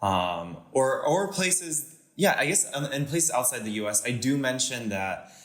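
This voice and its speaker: A man's voice: medium pitch, animated, a little slow, a bit bright, reverberant. A young man from Panama with an American, Californian accent, speaking as a guest in a casual conversation, hesitant with many fillers and restarts.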